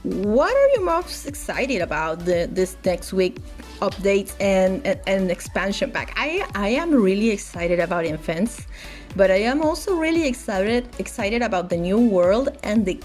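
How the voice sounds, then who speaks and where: A young woman talks casually in English, her second language after Spanish, stumbling and restarting often with lots of "like." Her voice sits at a middle pitch. Faint background music plays under her voice-over. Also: a little mellow. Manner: chatty, frequent restarts and "like" fillers. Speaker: gaming YouTuber.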